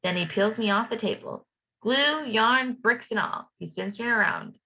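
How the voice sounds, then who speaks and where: A woman reading a children's storybook aloud as a playful young schoolboy narrator, expressive and rhythmic. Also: fairly high pitch, normal speed, vocal fry, exaggerated voice, poor audio. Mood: warm storytelling.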